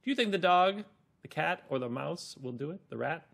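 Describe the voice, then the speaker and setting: A middle-aged man teaching children through a read-aloud story, deliberate, explanatory and expressive. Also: on the high side, vocal fry. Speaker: reading teacher.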